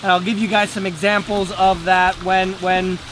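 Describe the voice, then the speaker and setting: A man's voice: sits high, little pitch movement, moderate pace, background noise. A man giving a casual, earnest motivational talk to camera, repeating words for emphasis. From Venezuela. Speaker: day trader and trading teacher.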